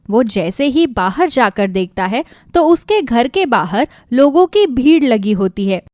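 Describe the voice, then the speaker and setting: A woman narrating a scripted children's moral story in an expressive storytelling manner. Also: on the high side, unhurried, no echo, clean studio sound.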